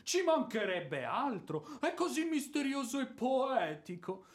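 Blustering ex-army officer, high-pitched, declamatory, sarcastic, indignant, theatrical radio play delivery. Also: male voice, sing-song, a little slow, heavily acted. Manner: declamatory, sarcastic, prone to bellowing. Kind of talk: theatrical Italian radio drama acting.

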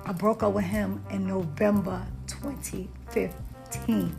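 A woman's voice: mid-range voice, steady tone, takes it slowly, gravelly, music in the background. Upbeat woman hosting a chat show. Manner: upbeat, emphatic, hype-building. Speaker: podcast host and hairstylist. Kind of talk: casual interview chat.

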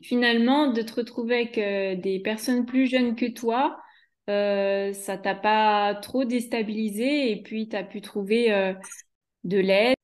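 A woman interviewing a guest, asking her questions gently and hesitantly. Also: medium pitch, steady tone, measured pace.

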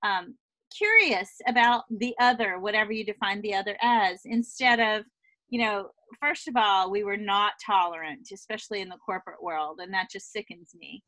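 A woman's voice: medium pitch, moderate pace, bright, forceful tone, dry recording, rough recording. A middle-aged woman hosting a podcast interview, effusive and enthusiastic. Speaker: podcast host. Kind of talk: conversational interview.

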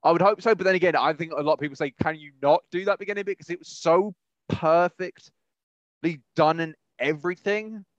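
A man's voice: fairly high pitch, brisk, no echo, muffled recording. A man chatting casually as a podcast co-host in a rambling, enthusiastic way. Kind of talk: casual chat.

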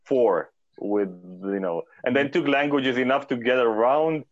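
A man's voice: mid-range voice, lively intonation, measured pace, dry recording, lo-fi sound. Man from romania chatting as a guest, starts and stops a lot, dead dry room. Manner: hesitant, many fillers and restarts. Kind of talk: casual interview talk.

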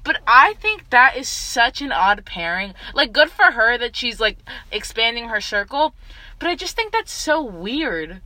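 Chatty young woman, high and sharp. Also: very animated, measured pace, no echo. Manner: chatty, opinionated, leads topics. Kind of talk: casual group chat.

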